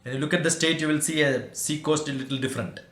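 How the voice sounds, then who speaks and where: Indian guy teaching informally on a call, lots of examples, bit high pitched, echoey. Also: steady tone, fast talker, a bit bright. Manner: didactic, explains through everyday examples. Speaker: academic presenter and mathematician. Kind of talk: informal explanatory teaching in an online session.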